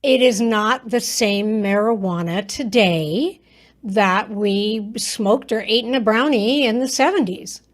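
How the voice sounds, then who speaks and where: A woman in her sixties with a husky voice, hosting a podcast interview in a chatty, reactive way. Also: mid-range voice, slow. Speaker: podcast host.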